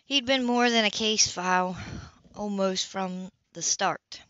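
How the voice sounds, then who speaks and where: A woman's voice: medium pitch, takes it slowly, fairly bright tone, no echo. A woman reading a novel aloud as amateur audiobook narration. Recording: dull lo-fi home recording.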